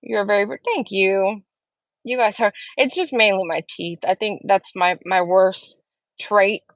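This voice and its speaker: A woman's voice: medium pitch, a little slow, no echo. A woman hosting a casual live stream, chatting and earnestly answering viewers' comments as she reads them, recorded at home. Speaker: livestream host.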